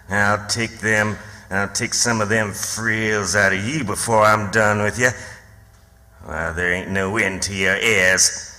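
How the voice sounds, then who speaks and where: Sharp, forceful, bullying old backwoods father. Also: lowish voice. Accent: rural Southern American. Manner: sneering, taunting, folksy dialect. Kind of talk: theatrical radio drama acting. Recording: long hall reverb.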